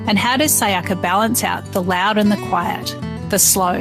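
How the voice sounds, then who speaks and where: A woman's voice: medium pitch, vocal fry, over music. A woman podcast host reading scripted voice-over links that pose questions. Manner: poses questions in a measured voice-over. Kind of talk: scripted narration.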